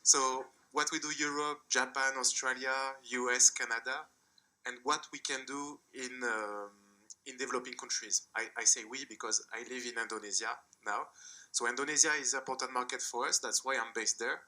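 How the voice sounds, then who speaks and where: French man, conference panelist, bright tone, measured explainer. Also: mid-range voice, steady tone, low-quality recording. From France. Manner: measured, explanatory, with small restarts. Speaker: panelist, hydrogen developer.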